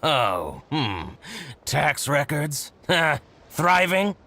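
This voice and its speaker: A man voicing a theatrical, excitable shop merchant in a video game, exaggerated and exclamatory, with music behind him. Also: fairly high pitch, very slow, slight background noise, exaggerated voice. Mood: flustered. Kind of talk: scripted video game dialogue.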